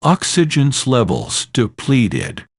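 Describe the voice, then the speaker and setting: A man's voice: mid-range voice, wildly swooping pitch, slow pace, gravelly, dry recording, clean studio sound. A man narrating a scripted horror story in a dramatic, ominous manner.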